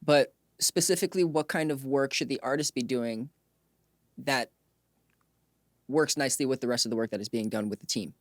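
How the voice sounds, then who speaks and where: A man's voice: mid-range voice, normal speed, long pauses, no room sound. Male podcast host, dry close sound. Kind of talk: conversational interviewing with segment announcements.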